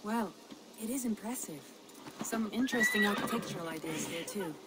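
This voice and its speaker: A woman's voice: medium pitch, expressive, normal speed, heavy background noise, lightly acted. An actress playing a bold, playful Viking woman, confident and hearty, in a video game drama. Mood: admiring. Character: Randvi, spirited bold Viking woman, teasing and adventurous.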